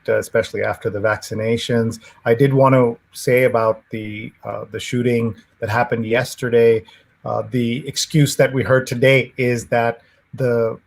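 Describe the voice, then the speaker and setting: A man hosting an interview over a video call, speaking in a measured way with small restarts. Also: medium pitch. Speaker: host. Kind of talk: interview talk.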